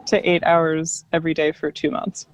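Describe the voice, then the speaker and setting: A woman's voice: low-pitched, level tone, moderate pace, very noisy. A woman panelist chatting casually, informal and self-deprecating, with a giggly way of talking. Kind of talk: casual chat on an online panel. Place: parked car, on a remote call.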